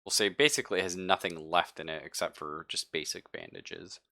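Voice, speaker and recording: Low-pitched man running a tabletop game. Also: steady tone, a little fast, gravelly, pushed and bright, no echo, studio quality. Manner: casual, profane, joking asides. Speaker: game master. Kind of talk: narrating a tabletop roleplaying game.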